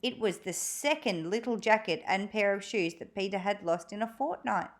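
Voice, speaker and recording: A woman reading a storybook aloud for children in an expressive, animated way. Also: mid-range voice, moderate pace. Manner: animated, acts out dramatic moments for young listeners.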